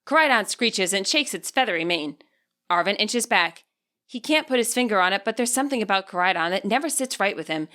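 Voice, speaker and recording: A woman reading expressive scripted narration as the author and narrator of an audiobook. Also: medium pitch, brisk.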